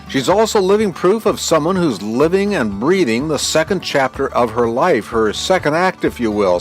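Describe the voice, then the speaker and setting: A man in his sixties hosting a podcast interview in a conversational way. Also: fairly high pitch. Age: 60s. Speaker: podcast host.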